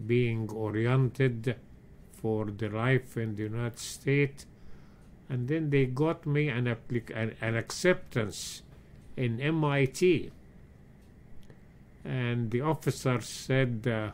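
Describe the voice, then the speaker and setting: A man in his seventies, recorded at home for an oral history. He speaks at a very slow pace and fills his sentences with hesitations, at an ordinary middle pitch. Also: a little mellow. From Egypt. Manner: slow, halting storytelling with many pauses and fillers. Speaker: interviewee, retired engineering professor.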